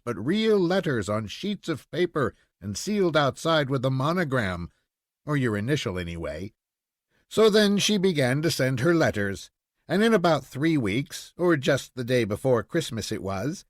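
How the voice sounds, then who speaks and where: A man reading a short story as scripted narration, in an expressive storytelling manner. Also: medium pitch, no echo, clean studio sound. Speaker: audiobook reader.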